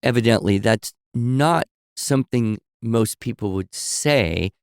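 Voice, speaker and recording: A man co-hosting a podcast, telling stories in a relaxed conversational way and explaining with examples and asides. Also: mid-range voice, slow, clear, resonant tone, no room sound, studio quality. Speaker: podcast co-host. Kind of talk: conversational storytelling.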